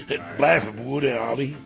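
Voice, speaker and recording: A male actor playing a teasing, folksy old father in a radio comedy, with a gravelly voice. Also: mid-range voice, a bit bright, full character voice. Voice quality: creaky, gravelly. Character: teasing, reminiscing old father, elderly man. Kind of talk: radio comedy drama acting.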